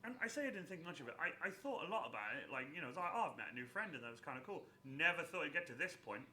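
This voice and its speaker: A young man on a live stream, talking in an excitable rush and tripping over his own words. His voice is very bright and sharp-edged, and it swings widely up and down. It sits a touch higher than most men's. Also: rapid-fire, slight background noise. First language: Welsh. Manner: excitable, stumbles and repeats words. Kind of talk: casual live-stream chat.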